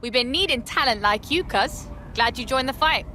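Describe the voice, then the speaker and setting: A woman's voice: sits high, expressive, moderate pace. Brief: slangy young female operative, light noise behind. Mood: reassuring. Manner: casual, slangy, upbeat. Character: streetwise young female resistance recruiter.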